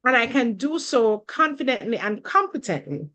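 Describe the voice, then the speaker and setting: A woman with a somewhat high voice presents on a webinar. She talks in a measured, explanatory way over a video call. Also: big pitch swings, bright, forceful tone. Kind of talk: answering questions on a video call.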